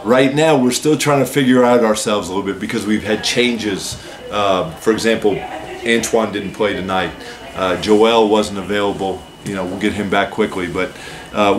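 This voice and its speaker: Measured lowish-voiced coach at a press table. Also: male voice, a little hiss or hum. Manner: measured, explanatory, many "uh" and "so" openers. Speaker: head basketball coach. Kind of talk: postgame press conference answers. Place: press room with a team backdrop, seated at a table.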